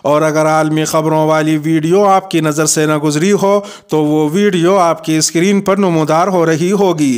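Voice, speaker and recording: A man presenting scripted news as host, in a formal, measured bulletin manner. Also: fairly high pitch, fairly even intonation, clear, resonant tone.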